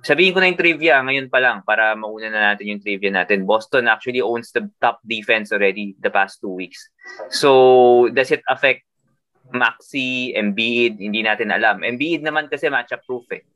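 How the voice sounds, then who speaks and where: A man's voice: medium pitch, fairly quick, bright, forceful tone, dry recording, highs cut off. A man with a Filipino accent co-hosting a fantasy basketball show, mixing Tagalog and English and thinking aloud with fillers. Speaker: fantasy basketball podcast co-host. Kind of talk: casual analytical chat.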